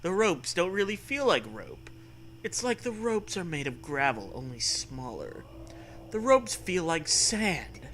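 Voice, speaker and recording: A man's voice: sits high, sing-song, unhurried, a bit bright, slight background noise. A man co-hosting a comic story reading, narrating in a crude, mock-serious way with chatty commentary. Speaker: co-host, reader.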